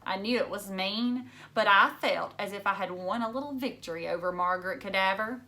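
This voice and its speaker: A woman narrating a children's novel in an expressive read-aloud style. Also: medium pitch, a little fast. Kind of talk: expressive storybook reading.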